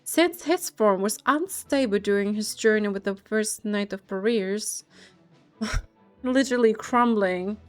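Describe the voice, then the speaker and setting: A woman's voice: medium pitch, a little slow, faint music behind. A woman game streamer giving casual playthrough commentary and reading dialogue aloud, musing and reactive.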